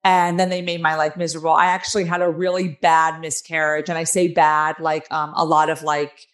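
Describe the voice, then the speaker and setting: A woman in her forties with a raspy, nasal New Jersey accent, telling her own story candidly and emphatically as an interview guest on a video call. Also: sits low, flat delivery, fairly quick. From New Jersey, United States. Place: small room at home, on a video call. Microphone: headset on a video call.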